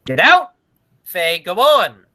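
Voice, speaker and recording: Forceful, animated male actor as a harried circus manager, vintage radio drama. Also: high-pitched, takes it slowly, exaggerated voice. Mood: exasperated. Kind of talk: 1940s radio acting.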